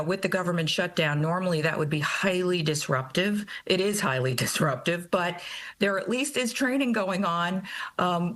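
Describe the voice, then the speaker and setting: A woman's voice: fairly low pitch, a bit bright. A middle-aged American woman speaking as an expert guest in a news interview, explaining things conversationally and often checking with a short tag question. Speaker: college president, interview guest.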